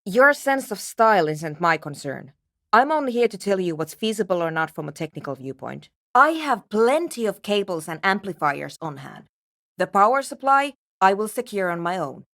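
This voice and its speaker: A woman's voice: mid-range voice, big pitch swings, normal speed, fairly bright tone, no room sound. A woman playing a frank, pragmatic female engineer in a video game, speaking in a dry, matter-of-fact and precise way. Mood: brisk, businesslike. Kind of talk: scripted video game character dialogue.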